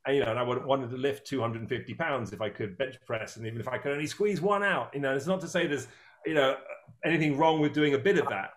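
A man's voice: medium pitch, quick pace. A man in his fifties hosting an interview, talking in a rambling, self-deprecating way with many restarts. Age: 50s. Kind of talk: casual interview chat.

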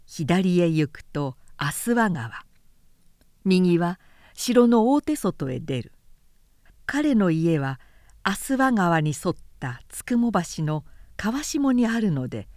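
Unhurried, expressive woman narrator. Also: on the low side, dry recording, clean studio sound. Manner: measured, expressive storytelling. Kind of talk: scripted literary narration.

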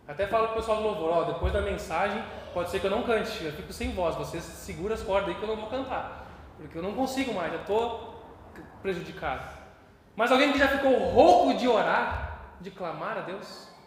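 A Brazilian man preaching a sermon with passion, moving between hushed and loud. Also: sits high, expressive, brisk, slight background noise. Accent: Brazilian Portuguese. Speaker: pastor. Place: church hall. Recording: echoey church hall with long reverb.

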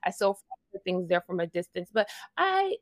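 A woman's voice: mid-range voice, very animated, normal speed, no echo, clean studio sound. A woman from Louisiana with a Louisiana Southern accent, a guest in a casual interview, talking earnestly and reflectively, with frequent laughs and restarted phrases. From Louisiana, USA. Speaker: interview guest, fashion project manager.

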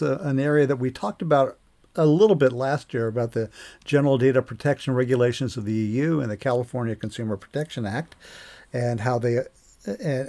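An older man speaking as a podcast host, introducing an interview in an informal, somewhat halting conversational way. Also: mid-range voice, a little mellow.

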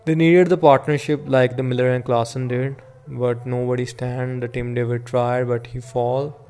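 A man with an Indian accent giving casual solo sports commentary as a podcast host, in a rambling, halting way. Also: medium pitch, level tone, dark tone, smooth.

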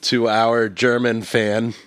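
A man's voice: medium pitch, flat delivery, slow, a bit bright, smooth, dry recording. A young man hosting a podcast, talking in crude, blunt, mocking casual banter. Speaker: podcast host. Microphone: studio podcast mic.

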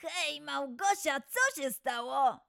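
A woman's voice: sits high, lively intonation, slow, creaky, fairly bright tone, soft background music, pitched above the performer's own voice, full character voice. A woman voicing a kind, caring young animal friend in a children's story, sweet and eager. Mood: concerned.

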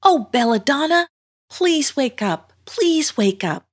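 Woman putting on a high, earnest little girl. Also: big pitch swings, slow, full character voice. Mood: pleading. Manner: earnest, pleading. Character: sweet, anxious young girl in a storybook.

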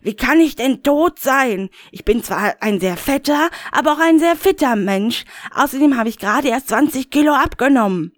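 A man's voice: lively intonation, moderate pace, hardly any pauses. A man voicing a furious, paranoid, foul-mouthed man in his thirties who rants and swears. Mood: ranting angry. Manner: shrill, ranting, swearing. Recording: echoey room with long reverb.

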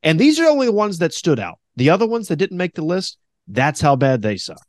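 Lively, animated man hosting a podcast. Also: fairly quick, no room sound, clean studio sound. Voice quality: deep voice. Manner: animated, breaks into high mocking impressions of radio announcers. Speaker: podcast host; former radio host. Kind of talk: casual podcast chat.

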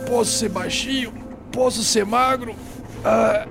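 A man's voice: extremely high pitch, steady tone, slow, vocal fry, a bit bright, soft background music, exaggerated voice. A man voicing a small, eccentric, paranoid germophobe hacker who mutters theatrically to himself in a tabletop game. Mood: smug, muttering. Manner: theatrical muttering to himself, grumbling, self-satisfied.

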